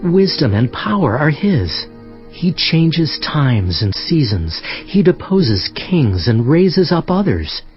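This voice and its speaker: A man acting an earnest, expressive young prophet. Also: on the high side, highs cut off, soft background music. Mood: reverent, grateful. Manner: earnest, measured, reverent. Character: devout, tactful young Hebrew prophet. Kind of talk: dramatized scripture audio acting.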